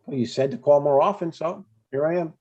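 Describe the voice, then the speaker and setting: An older man with a husky voice playing a blunt, easily annoyed but caring dad in an informal comedy table read. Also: a little high, a little fast, warm tone, dull audio, close to an everyday voice. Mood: friendly.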